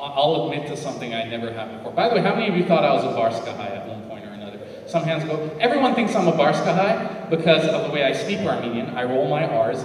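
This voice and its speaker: A man's voice: mid-range voice, far from the mic, a little hiss or hum. Man speaking in an echoey hall. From United States. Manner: lively, anecdotal, addresses the audience directly. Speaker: forum panelist. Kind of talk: storytelling talk to an audience. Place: large hall at a community forum.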